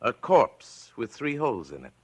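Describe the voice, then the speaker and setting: An actor playing a showy, sly defense lawyer with a mocking, theatrical manner in 1940s radio style. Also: male voice, medium pitch, huge pitch swings, moderate pace, over loud music. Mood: wry, dramatic.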